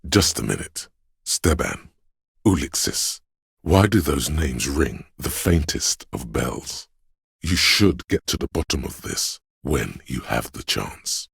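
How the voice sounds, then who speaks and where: A man playing the pretentious, wry inner voice of an art-loving detective in a game, narrating in a theatrical, deliberate, literary manner. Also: very animated, a bit bright, no echo, studio quality, exaggerated voice. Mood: haughty urging. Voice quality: very deep, gravelly, husky. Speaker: game narrator voicing a character's thoughts.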